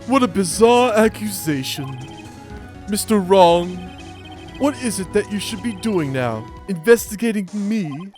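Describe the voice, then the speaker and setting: Man putting on a high-pitched pompous villain businessman. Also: expressive, a little slow, a little mellow. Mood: haughty, dismissive. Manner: pompous, theatrical, condescending. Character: pompous, menacing male businessman villain, condescending and slippery. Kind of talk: amateur video game dub. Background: game music.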